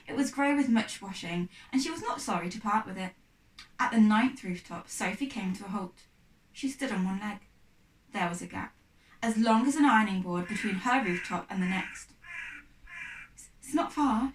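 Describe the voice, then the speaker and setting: A woman, a teacher, reading a children's novel aloud as narrator. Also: medium pitch. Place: echoey room, away from mic. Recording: echoey room, distant mic.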